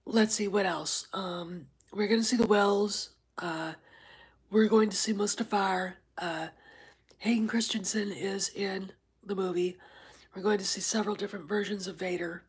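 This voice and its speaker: A woman hosting a casual livestream, a little stuffed up from congestion, rambling with many fillers and breaking off to greet chat viewers. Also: medium pitch. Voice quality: very husky, often near a whisper. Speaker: livestream host.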